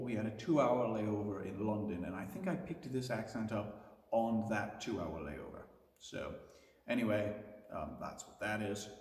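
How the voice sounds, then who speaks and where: Brief: Southern US man, mid pitch, dry matter-of-fact delivery, recorded from a distance in a reverberant room. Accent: Southern US (West Tennessee). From West Tennessee, USA. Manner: dry, wry asides delivered matter-of-factly. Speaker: gaming YouTuber answering viewer questions. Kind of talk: casual rambling Q&A vlog. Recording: roomy reverberant sound from off-mic.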